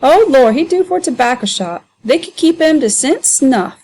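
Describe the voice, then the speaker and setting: A woman putting on a loud mocking joker man. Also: high-pitched, lively intonation, moderate pace, pitched above the performer's own voice. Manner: mocking, drawling, broad dialect. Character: boisterous teasing grown man, a loud joker.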